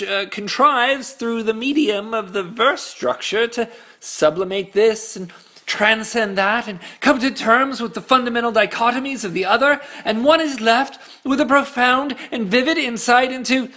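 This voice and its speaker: An actor playing a nervous, floundering human prisoner, stammering and bluffing his way through flattery in a sci-fi comedy. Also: very high-pitched, heavily acted. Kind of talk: sci-fi comedy audio drama.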